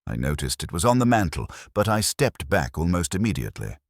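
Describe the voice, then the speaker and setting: A man reads as an anxious woman schoolteacher in a narrated mystery. The delivery is hesitant and flustered, low in register, with pitch that jumps around. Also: very animated, a little fast, gravelly, no echo, studio quality, full character voice. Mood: nervous, defensive. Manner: nervous, hesitant. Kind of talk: acted dialogue in a narrated mystery story.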